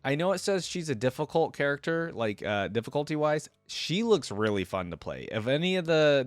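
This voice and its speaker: A man's voice: medium pitch, dry recording. A man hosting a reaction video, talking casually and enthusiastically and reading bits of text aloud. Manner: enthusiastic, informal.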